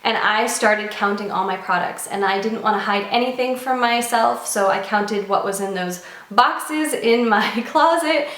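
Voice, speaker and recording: A woman's voice: on the high side, a little slow, fairly bright tone, roomy sound. Chatty woman, nasal from a cold. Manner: chatty and earnest, with light laughs. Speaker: beauty vlogger. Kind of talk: casual chat to camera.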